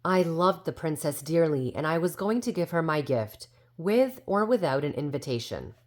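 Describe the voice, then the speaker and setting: A woman's voice: low-pitched, expressive, moderate pace. A woman voicing a very old, indignant but well-meaning fairy who tells her own storybook tale defensively and with self-assurance. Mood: hurt, earnest. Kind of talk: storybook character narration.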